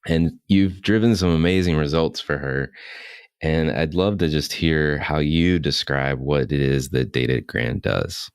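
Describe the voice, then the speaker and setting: Man, podcast host, extremely deep voice, smooth, dry studio sound. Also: unhurried, no echo. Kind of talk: interview podcast hosting.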